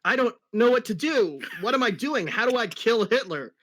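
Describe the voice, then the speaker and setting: A man's voice: very high-pitched, animated, normal speed, a bit bright, a little hiss or hum, pitched above the performer's own voice. A man voicing a brash but hapless teenage cartoon hedgehog hero with dramatic, whiny delivery in an amateur reading. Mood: panicked pleading.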